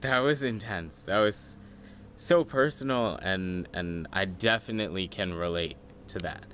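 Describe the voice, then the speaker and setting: A teenage boy, a high school senior, chatting in a rambling way in a casual video diary at home and laughing at himself. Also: a little slow, fairly bright tone, a little hiss or hum. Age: teens. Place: at home, partly outdoors on a deck. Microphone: handheld camera.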